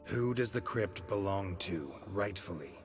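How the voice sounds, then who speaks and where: A gruff, deep-voiced hunter. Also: male voice, animated, unhurried, soft background music. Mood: curt. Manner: gruff, curt, dry. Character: gruff terse monster hunter man. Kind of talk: video game voice acting.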